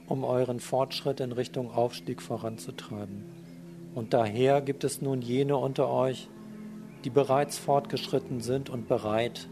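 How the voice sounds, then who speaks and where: A man narrating a scripted spiritual message in a measured, solemn and formal read-aloud manner. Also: medium pitch. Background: music.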